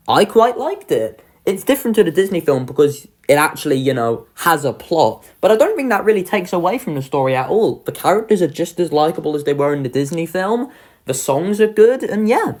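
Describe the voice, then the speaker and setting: Young adult reviewer, casual, sweary, enthusiastic, very animated intonation. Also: medium pitch. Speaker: video creator ranking children's pantomimes. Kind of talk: casual review ranking commentary.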